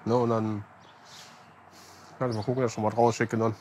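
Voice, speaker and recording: A middle-aged man on a balcony speaking haltingly, repeating words as he searches for them. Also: lowish voice, little pitch movement, a little mellow, a little hiss or hum. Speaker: interviewee. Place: apartment balcony outdoors. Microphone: clip-on mic.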